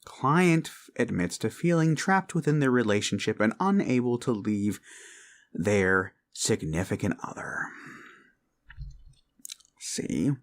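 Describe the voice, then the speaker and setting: A man playing an obsessive, possessive boyfriend in an ASMR roleplay, creepily sweet and theatrical, coaxing with pauses and drifts into whispers. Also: mid-range voice, lively intonation. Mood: indignant, mocking.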